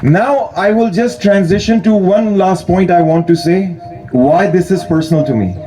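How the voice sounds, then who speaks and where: A man's voice: high-pitched, moderate pace, dark tone. A man from Pakistan giving an earnest speech at a hospital opening ceremony, thanking donors and calling for applause. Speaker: charity speaker at opening ceremony. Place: outdoor ceremony.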